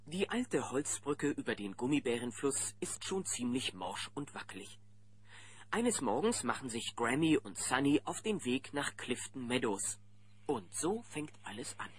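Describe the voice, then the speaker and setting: A man's voice: medium pitch, big pitch swings, measured pace. A man narrating a children's audio drama in a dramatic storytelling manner. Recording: noisy old cassette transfer.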